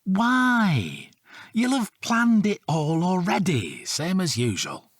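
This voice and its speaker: A man playing a grumpy, dry-witted middle-aged British police detective in an audio drama, deadpan and sardonic. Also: high-pitched, slow, no room sound, heavily acted.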